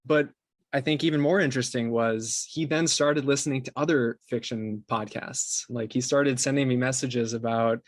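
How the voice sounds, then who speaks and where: An earnest man answers as a guest in an interview. His voice sits at an ordinary male pitch, and he talks in a conversational, appreciative way. The sound is dry, with no room echo. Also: fairly even intonation, moderate pace. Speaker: interview guest, podcast company co-founder.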